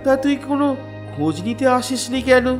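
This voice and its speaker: A man's voice: very high voice, animated, a little fast. A man voicing an elderly father in a comedy cartoon, sentimental and theatrical, both scolding and doting. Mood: relieved, affectionate. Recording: faint music behind.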